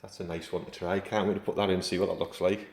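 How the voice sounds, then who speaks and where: A middle-aged man with a croaky voice from a cold, chatting casually in a home vlog as he works and comments on it. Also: deep voice, little pitch movement, quick pace. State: hoarse from a cold. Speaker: gaming channel host.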